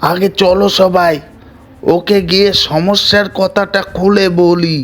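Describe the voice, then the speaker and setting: A man voicing a grave, commanding village headman in a cartoon story, speaking deliberately and with authority. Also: high-pitched, flat delivery, a bit bright. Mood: worried, resolute. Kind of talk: Bengali cartoon story voice acting.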